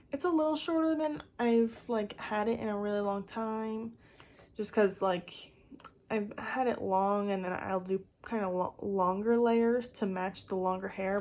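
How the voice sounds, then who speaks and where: A young woman with a slightly raspy voice chatting casually and off the cuff to a phone camera, rambling with lots of filler words. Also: a little high, darkish tone. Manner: rambling, filler-heavy with frequent "like". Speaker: vlogger. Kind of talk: casual impromptu chat to camera.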